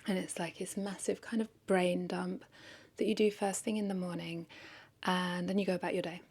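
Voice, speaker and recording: A woman's voice: lowish voice, level tone, moderate pace, a little mellow, husky. A woman talking casually in an unscripted vlog, rambling and correcting herself as she thinks aloud. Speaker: content creator, vlogger.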